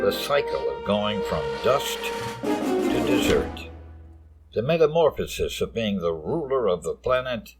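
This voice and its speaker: Man acting deep, slow, wry anthology host. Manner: measured, sardonic, dramatic pauses. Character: male wry, ominous anthology host and narrator. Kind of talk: scripted radio drama narration.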